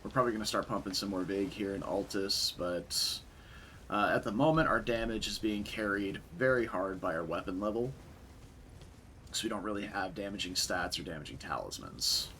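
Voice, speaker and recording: A young man with a raspy voice from a concert the night before, casually commentating a game stream and chatting with viewers. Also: fairly low pitch, normal speed, a little hiss or hum. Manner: relaxed, chatty, answers chat.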